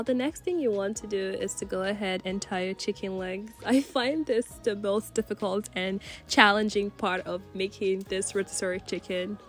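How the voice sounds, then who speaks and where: A woman from Nigeria, congested from a cold, talking casually through a cooking voice-over with lots of fillers. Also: mid-range voice. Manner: chatty, relaxed, many fillers like "you know". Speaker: cooking channel host. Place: voice-over recorded separately from the kitchen footage.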